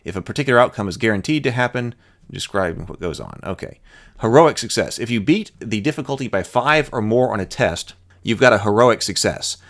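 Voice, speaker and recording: A man's voice: fairly low pitch, animated, brisk, a bit bright. A man hosting a tabletop roleplaying lesson, reading rules aloud step by step with informal thinking-aloud asides.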